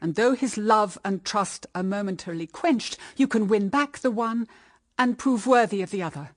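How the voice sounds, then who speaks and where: A woman's voice: medium pitch, moderate pace, no room sound, studio quality, natural voice. An actress playing a stern, moralising middle-aged English mother in a period radio drama, with formal, emphatic diction. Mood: firm advising.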